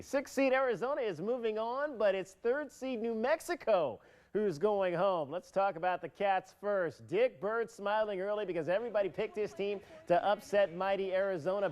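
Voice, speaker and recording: A man reading scripted sports news as a television anchor. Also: high-pitched. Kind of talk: scripted TV sports newscast narration.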